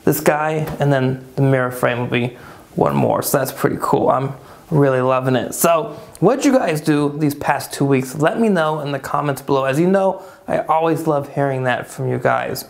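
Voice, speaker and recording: A man's voice: medium pitch, normal speed, vocal fry. A man in his thirties hosting a casual woodworking shop update, upbeat and chatty, in a furnished room. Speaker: woodworking channel host.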